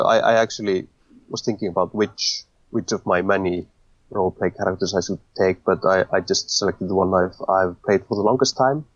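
Casting: man, low husky voice, dry close sound, halting podcast guest. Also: no echo, lo-fi sound. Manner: hesitant, many fillers and restarts. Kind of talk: casual interview talk.

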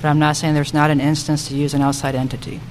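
A woman's voice: sits low, level tone, quick pace, a little mellow. A woman giving emphatic candidate remarks at a public forum, often repeating phrases for weight. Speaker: city council member and candidate. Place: public forum room at a school. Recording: faint noise and room ambience.

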